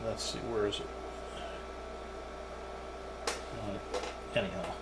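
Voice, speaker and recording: A man's voice: on the low side, fairly even intonation, slow, creaky, heavy background noise. A man giving a casual, unscripted hands-on demonstration as a hobbyist reviewer, thinking aloud as he goes.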